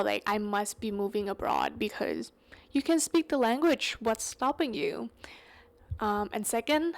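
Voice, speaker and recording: A young woman from Thailand with a Thai accent, English not her first language, rambling casually through a solo podcast with many fillers and self-corrections. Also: on the high side. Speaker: podcast host.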